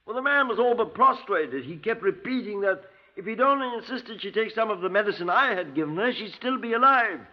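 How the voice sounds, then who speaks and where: High-voiced, stammering, affronted doctor. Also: male voice, lively intonation, brisk, few pauses. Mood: defensive. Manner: stammering, formal, indignant. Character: stiff, defensive male physician, easily affronted. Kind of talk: 1950s radio drama acting. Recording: dull 1950s radio recording.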